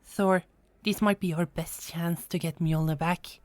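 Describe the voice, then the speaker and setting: A woman acting in a fantasy audio roleplay as an expressive goddess, sensible and playfully bossy. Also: on the low side, unhurried, no room sound, natural voice. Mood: worried, reasoning.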